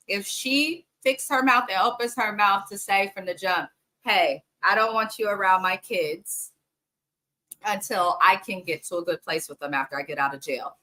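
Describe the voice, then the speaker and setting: A woman's voice: medium pitch, bright, forceful tone, poor audio. A woman hosting a casual, frank reality TV recap, chatting straight to her viewers and sniffly from allergies. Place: home in the Bay Area.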